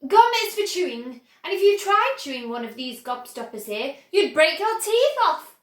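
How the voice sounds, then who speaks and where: A woman voicing an excitable, eccentric male candy inventor who talks in proud exclamations. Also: high-pitched, moderate pace, roomy sound. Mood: emphatic.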